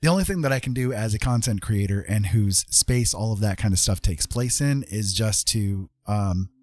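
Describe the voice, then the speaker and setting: A man's voice: on the low side, normal speed, darkish tone. A man hosting a livestream, talking in a casual, unscripted, rambling and conversational way. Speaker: live streamer hosting a chat stream.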